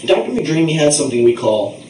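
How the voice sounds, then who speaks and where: A man spins an improvised comic tale in a playful, theatrical way. His fairly high voice moves up and down in a lively way as he rambles. It sounds echoey and distant from the mic, with water noise around him. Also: quick pace. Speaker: puppet show narrator. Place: bathtub in a bathroom.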